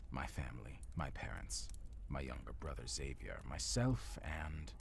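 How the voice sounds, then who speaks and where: A man acting a courtly, formal male villain with measured, theatrical phrasing in an audio roleplay drama. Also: a bass voice, gravelly, slight background noise, exaggerated voice. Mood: wistful, reminiscing. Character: big bad male villain, courtly, smooth-talking, wistful.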